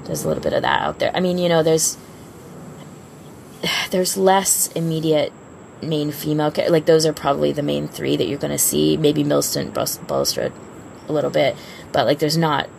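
Female podcast co-host, casual and rambling, deep register with strong creak. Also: moderate pace, a little mellow, slight background noise. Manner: informal, rambling, self-interrupting. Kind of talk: casual fan podcast chat.

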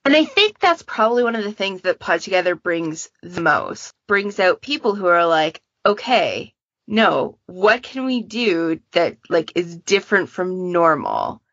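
A woman's voice: lowish voice, big pitch swings, a little slow, fairly bright tone, dry recording, lo-fi sound. A woman in a casual panel chat, explaining things in an informal, chatty way. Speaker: podcast panelist and fan project moderator.

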